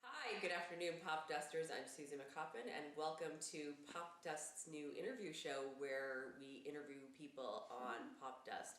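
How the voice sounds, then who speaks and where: Deadpan joking woman host, echoey room. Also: sits low, moderate pace, few pauses, background noise. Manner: dry, teasing, absurd questions. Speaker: interviewer and show host. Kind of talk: comedic deadpan interview.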